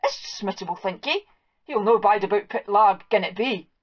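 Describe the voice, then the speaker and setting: A woman voicing a fussy, excitable old farm wife in a Scots accent, shrill and flustered as she scolds. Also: moderate pace, close to an everyday voice. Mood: fretful scolding. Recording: dull lo-fi recording.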